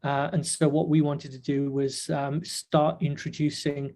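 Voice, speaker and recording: Hoarse man hosting a webinar. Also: fairly high pitch, level tone, warm tone. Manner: halting, many fillers and restarts. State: hoarse, nearly losing his voice. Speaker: webinar host. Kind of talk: casual webinar talk. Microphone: webcam video call.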